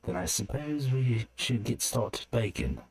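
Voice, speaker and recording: A man's voice: medium pitch, expressive, a little slow, darkish tone, voice pushed up, close to an everyday voice. Watchful male fantasy adventurer played by a man, dry wit, teasing delivery, audio storybook. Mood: wry. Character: wry, watchful male adventurer who wields daggers.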